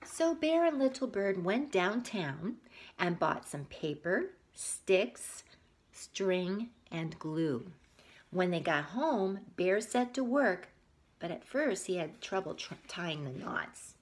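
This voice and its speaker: Lady teacher doing storytime for little kids, slow and super animated, kinda breathy, asks them stuff. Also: mid-range voice. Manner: expressive, asks the listeners questions. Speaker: teacher reading picture books aloud to young children. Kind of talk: read-aloud storytelling with asides to young listeners.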